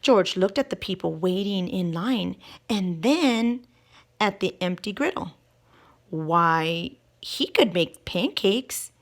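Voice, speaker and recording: A woman reading a picture book aloud to children in an expressive way, drawing out key words and putting questions to her listeners. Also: mid-range voice, slow pace.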